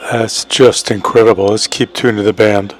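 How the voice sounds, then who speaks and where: A man's voice: fairly low pitch, flat delivery, moderate pace, over loud music. A man giving a casual, enthusiastic product demonstration in short plain phrases, as an amateur radio operator. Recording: noisy, radio static and hum.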